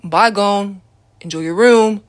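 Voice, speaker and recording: A woman voicing a calm, blunt teenage boy friend who talks in a flat, dismissive way in an ASMR roleplay. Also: medium pitch, takes it slowly, close to an everyday voice. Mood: dry.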